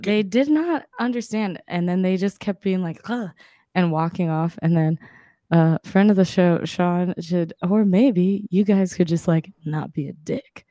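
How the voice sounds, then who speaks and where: Young woman on a podcast, kinda raspy, chatty and animated, acts out what people said, mellow low tone. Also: unhurried, no room sound, studio quality. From Southern California. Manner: chatty, animated retelling with quoted voices and laughs. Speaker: podcast co-host. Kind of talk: casual storytelling chat.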